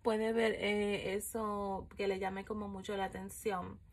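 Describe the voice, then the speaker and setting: A woman's voice: medium pitch, level tone, a little slow, breathy edge. A woman giving a casual spiritual fortune reading at home, filling gaps with "eh" and "um" and checking in with "¿ok?" again and again. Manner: chatty, many fillers, frequent "¿ok?" check-ins. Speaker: coffee-ground and tarot reader. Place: home room with candles and an altar, indoors. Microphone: phone camera over the table, speaker off screen.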